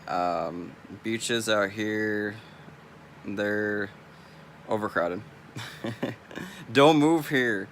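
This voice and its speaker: A man's voice: on the low side, very slow, long pauses, a little hiss or hum. A young man from California with a Californian accent, rambling bluntly and swearing freely in a casual vlog. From California, US West Coast. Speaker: vlogger.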